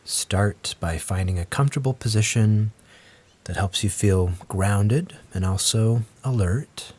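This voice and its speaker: A man leads a guided meditation as the teacher, speaking gently and in a measured way with long pauses. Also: on the low side, slightly rough. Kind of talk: guided meditation, slow scripted instruction.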